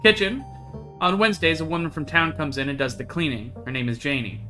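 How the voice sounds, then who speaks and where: Man voicing a curt, haughty country woman, bright edge. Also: on the high side, steady tone, a little fast, soft background music. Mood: curt, bossy. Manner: clipped, condescending, dry. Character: curt, haughty, possessive country woman showing a guest around. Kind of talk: reading visual novel dialogue aloud.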